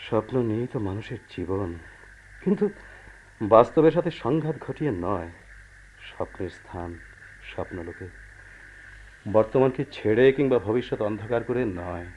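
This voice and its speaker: A man acting a calm, pragmatic husband who earnestly placates his unhappy wife in a radio play. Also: on the low side, normal speed, long pauses, natural voice. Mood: reasoning. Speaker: radio drama actor. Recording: dull, noisy old radio drama recording.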